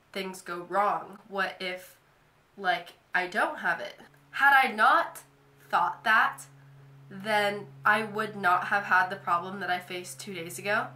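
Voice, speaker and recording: Young woman rambling to her camera, kinda nasal from a cold, bright voice, echoey bedroom. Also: mid-range voice. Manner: chatty, lots of "like" fillers. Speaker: vlogger. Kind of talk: casual talk to camera. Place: bedroom, indoors.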